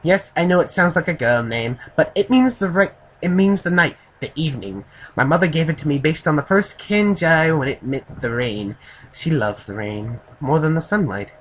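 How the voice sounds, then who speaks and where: A man voicing a polite, dry Japanese man in a story, attempting a slight Japanese accent with careful, halting speech. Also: a little high, animated, voice pushed up. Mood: earnest. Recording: clearly noisy home recording.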